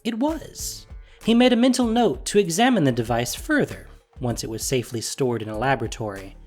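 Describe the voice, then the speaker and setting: Animated narrator with music underneath. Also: mid-range voice, a little fast. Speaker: narrator and producer. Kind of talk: scripted story narration.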